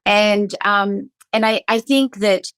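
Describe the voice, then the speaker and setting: Woman, esthetician podcast host, emphatic, passionate, repeats words, middle pitch, dry close sound. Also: takes it slowly, fairly bright tone, no room sound. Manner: emphatic, fired-up, repeats words when stressing a point.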